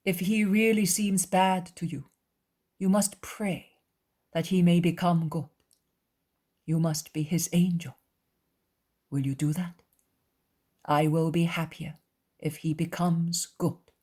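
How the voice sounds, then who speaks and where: Lady reading as a gentle patient male teacher in a bible story, calm, lowish and husky. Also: slow pace, pauses often. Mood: tender, coaxing. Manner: calm, kindly admonishing.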